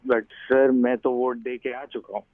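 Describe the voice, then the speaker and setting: A man's voice: medium pitch, flat delivery, measured pace, no echo. A man in casual conversation as the recipient of a prank call, heard over a dull phone line.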